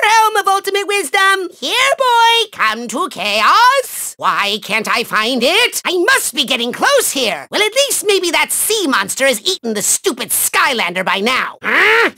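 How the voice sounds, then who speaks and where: Casting: childish megalomaniac video game overlord, shrill and forceful with a gritty rasp, theatrical, gloating, quick to whine. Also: male voice, no echo, clean studio sound, full character voice. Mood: irritated. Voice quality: shrill, gravelly. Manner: grandiose, gloating, mocking, whiny outbursts. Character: pompous small male evil overlord, childish, hot-tempered, megalomaniac.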